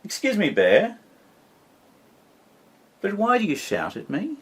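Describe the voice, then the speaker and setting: Man doing a timid moose, polite, raised voice, husky, slow, storybook. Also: huge pitch swings, heavily acted. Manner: polite, higher pitched. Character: gentle, timid male moose.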